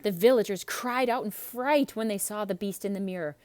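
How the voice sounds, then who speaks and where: A woman reading a children's story aloud in an expressive, theatrical narrating style. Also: mid-range voice, normal speed, dry recording. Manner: expressive, dramatic.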